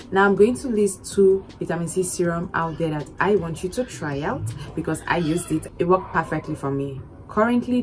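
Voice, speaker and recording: A young woman with a slightly hoarse voice, chatting animatedly to camera about skincare and repeating points for emphasis. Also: low voice, a little mellow, poor audio. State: hoarse, recovering lost voice. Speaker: beauty vlogger. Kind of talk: casual skincare vlog to camera. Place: indoors against plain orange backdrop.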